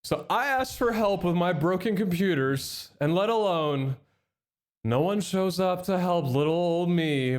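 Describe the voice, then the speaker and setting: A young man streaming live, chatting with his chat and thanking subscribers, a little nasal from a head cold. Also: fairly high pitch. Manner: chatty and excitable, reads out chat and thanks subs.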